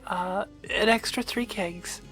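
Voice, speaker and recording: A woman's voice: mid-range voice, takes it slowly, fairly bright tone, soft background music. A woman playing a nervous, deferential servant to a vampire princess, hesitant and flustered, in an ASMR roleplay.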